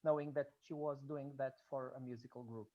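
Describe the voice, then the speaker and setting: A native Portuguese speaker with a Portuguese accent, talking informally and haltingly in an online discussion, often repeating words. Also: medium pitch, steady tone, highs cut off. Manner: hesitant, halting with repetitions. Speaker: audience member, student researching Blake and music.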